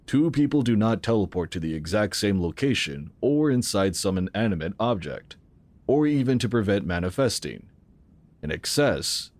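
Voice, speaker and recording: An actor playing a calm, assured founder of a futuristic tech organization, explaining in a measured way in an audio drama. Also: male voice, lowish voice. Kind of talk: scripted audio drama acting.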